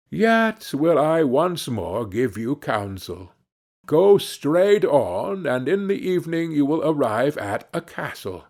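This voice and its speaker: A man's voice: on the high side, a little mellow, full character voice. A man voicing a wise old male fox in a storybook, scolding and patiently instructing in formal, old-fashioned phrasing. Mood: scolding, advising.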